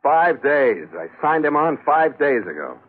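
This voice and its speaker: Tough wisecracking radio sea captain, tinny. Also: male voice, fairly high pitch, big pitch swings, a little slow, husky, full character voice. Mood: sardonic, guarded. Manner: clipped, defiant, sarcastic. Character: tough, wisecracking twenty-nine-year-old male sea captain. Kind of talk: 1940s hardboiled radio acting and narration. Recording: narrow, phone-like vintage 1940s radio recording.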